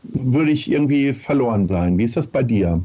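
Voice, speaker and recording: A man from Hanover hosting an interview podcast, asking rambling questions in a relaxed, casual way. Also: mid-range voice, normal speed, husky, highs cut off. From Hanover, Germany. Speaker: podcast host.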